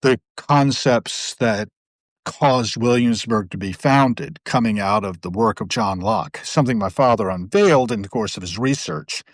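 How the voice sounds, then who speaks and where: A man's voice: lowish voice, unhurried, no echo. A middle-aged man hosting a casual live video chat, talking in long, rambling, digressive stretches. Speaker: host. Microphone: video call.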